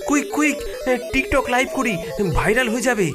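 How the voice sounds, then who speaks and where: A man voicing an excitable villager eager to go viral in a cartoon. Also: very high-pitched, normal speed, over music. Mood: excited, alarmed. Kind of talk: animated cartoon voice acting.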